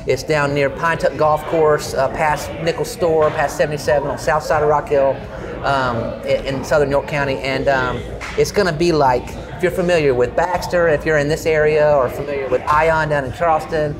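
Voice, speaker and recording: A man hosting a casual interview podcast in a brewery, talking in a relaxed way with many fillers and restarts. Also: on the high side, normal speed, over music. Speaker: podcast host. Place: local brewery.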